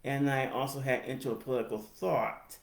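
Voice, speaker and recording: An American man in his forties with a speech impediment, giving a personal reflective narration in halting, deliberate phrases. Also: medium pitch, steady tone, gravelly. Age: 40s. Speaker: higher education professional telling own story. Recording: roomy, echoey sound.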